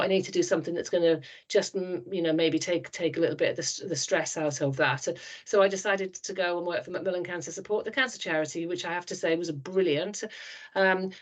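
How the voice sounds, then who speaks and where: A woman in her fifties from South Wales with a Welsh accent, chatting frankly as a podcast guest, with frequent restarts. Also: lowish voice. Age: 50s. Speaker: trust board chair, guest. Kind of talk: podcast interview.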